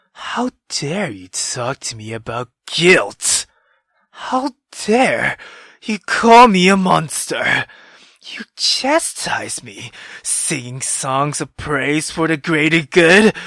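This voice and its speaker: Woman voicing a slow, sinister, mocking male immortal. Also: mid-range voice, a bit bright, no room sound, heavily acted. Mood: bitter rage. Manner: slow, mocking, menacing, dropping into whispers. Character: sinister male immortal who feeds on love, mockingly calm, bitter. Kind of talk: dramatic visual novel read-aloud.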